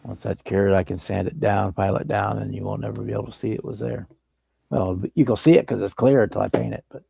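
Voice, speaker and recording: Man, gravelly, husky, low and mellow, dry close sound, relaxed rambling hobby chat. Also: fast, no echo. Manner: relaxed, rambling explanations while working. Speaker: model-building livestream host. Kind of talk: casual workbench chat.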